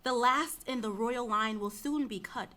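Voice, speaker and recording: A woman reading as a solemn Greek tragedy chorus speaker, measured and declamatory. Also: on the high side, bright, forceful tone. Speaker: chorus member.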